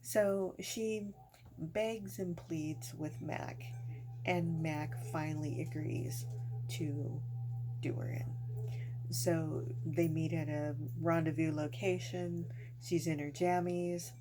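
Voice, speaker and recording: A woman's voice: low-pitched, steady tone, takes it slowly. A woman host telling ghost stories casually to viewers, in a chatty, folksy, colloquial way. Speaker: host of a storytelling channel. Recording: noisy home recording with clear hum.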